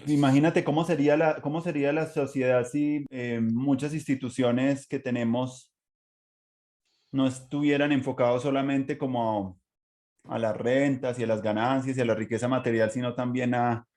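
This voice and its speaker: A Colombian man speaks as a podcast host at an ordinary middle pitch for a man. He talks in a reflective, conversational way, as in an informal interview. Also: fairly even intonation, normal speed, darkish tone.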